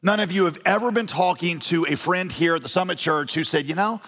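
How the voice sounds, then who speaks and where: A man preaching a sermon as pastor, animated and folksy with touches of humor. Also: a little high, brisk. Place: large church auditorium. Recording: long reverb of a church hall.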